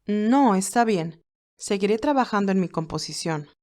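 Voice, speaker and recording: Story role: reserved young woman, stoic and gently reassuring acted by a female reader. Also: mid-range voice, lively intonation, moderate pace, natural voice. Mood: concerned, reserved.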